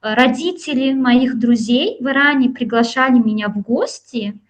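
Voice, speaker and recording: A woman from Russia and Iran talking reflectively and in a measured way as a guest in a conversational interview. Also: a little high.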